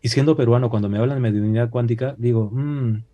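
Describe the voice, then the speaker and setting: A man's voice: mid-range voice, fairly even intonation, quick pace, lo-fi sound. A man from Peru with a Peruvian Spanish accent, a talk-show guest speaking in a reflective way with many hesitations and rhetorical questions. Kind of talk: casual talk-show discussion.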